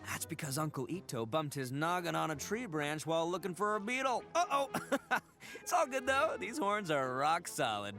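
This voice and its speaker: An actor playing a loud, big-hearted oni gang boss who blusters, laughs a lot and stammers when caught out. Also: male voice, fairly high pitch, sing-song, normal speed, exaggerated voice. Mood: bluffing. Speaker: game character. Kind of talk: video game voice acting. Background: faint game music.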